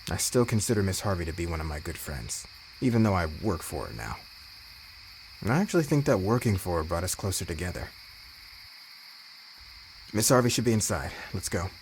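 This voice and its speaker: A man's voice: deep voice, big pitch swings, brisk, long pauses, slight background noise, low-quality recording. A man acting as a boss's loyal right-hand man in an audio roleplay, talking in an easygoing, matter-of-fact, reflective way. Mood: calm, fond. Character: loyal, laid-back male right-hand man of boss.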